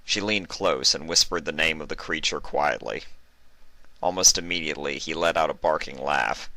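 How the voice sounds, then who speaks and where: Deep, bright-voiced male story narrator. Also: moderate pace, dry recording. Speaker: narrator of fan fiction. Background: music.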